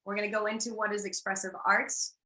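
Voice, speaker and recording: A woman's voice: mid-range voice, little pitch movement, fast talker, pushed and bright, echoey room, poor audio. An American woman, a life coach from the United States, co-hosting an online workshop over a video call in an upbeat, reassuring way, laughing often.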